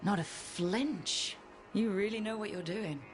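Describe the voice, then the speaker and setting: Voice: medium pitch, unhurried, lightly acted. An actor playing an easygoing, wry adventurer in a video game, speaking casually and plainly. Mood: wry, friendly. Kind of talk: video game dialogue acting. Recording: music behind the voice.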